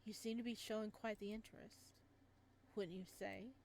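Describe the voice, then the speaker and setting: Woman reading as a gentle, devoted male florist. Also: medium pitch, steady tone, moderate pace, slight background noise, natural voice. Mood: tender. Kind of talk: reading a visual novel aloud. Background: game music.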